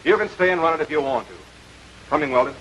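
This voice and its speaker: An American actor playing a stern, exacting major with a brisk, authoritative manner in a 1940s military training film. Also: male voice, on the high side, animated, a bit bright. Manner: brisk, authoritative, clipped questioning. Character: stern, exacting army air force major instructing pilots. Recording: noisy old 1940s film soundtrack.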